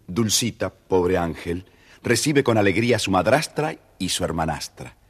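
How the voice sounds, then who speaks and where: A man's voice: low voice, lively intonation, moderate pace, breathy edge, faint music behind. A man narrating a children's story dramatically, addressing his young listeners directly.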